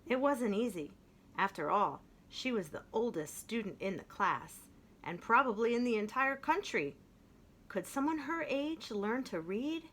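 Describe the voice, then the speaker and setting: A woman reading a children's picture book aloud in an expressive way, slowing down to stress key phrases. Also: on the high side. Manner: expressive, with slowed emphatic phrases. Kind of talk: storybook read-aloud.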